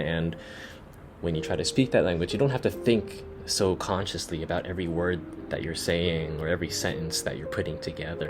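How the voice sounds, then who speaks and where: A young man with a deep, low-pitched voice explains his advice in an easy, conversational tone, full of filler words. Gentle guitar music plays clearly underneath him. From United States. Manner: relaxed, conversational, many fillers like "you know". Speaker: language learning YouTuber. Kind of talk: casual explainer to camera.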